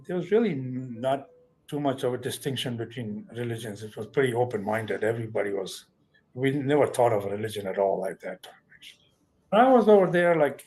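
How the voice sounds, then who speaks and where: A filmmaker from Afghanistan recalls his early years in a calm, measured way. His voice sits at an ordinary middle pitch, and he often circles back and restarts his phrases. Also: slight background noise, low-quality recording. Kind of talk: personal recollection.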